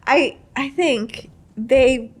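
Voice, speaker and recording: A woman hosts a chatty podcast interview in a fairly high voice. She speaks informally, circling into her questions and opinions with frequent "you know". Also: drawn-out words, breathy edge. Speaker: podcast host.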